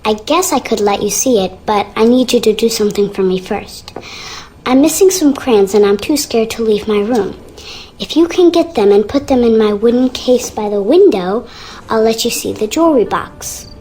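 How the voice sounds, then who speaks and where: Woman doing a frightened young girl for a mystery game, high voice, childlike, shy and earnest. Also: animated, moderate pace, dark tone, lightly acted, rough recording. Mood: timid, confiding. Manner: childlike, timid, confiding. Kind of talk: video game character dialogue.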